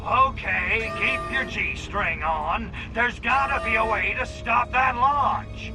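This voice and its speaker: A brash crude little alien invader from a video game, sarcastic, pretty high pitched for a guy, music behind. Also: slow pace, low-quality recording. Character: cocky, crude, swaggering male alien invader. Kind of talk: video game cutscene acting.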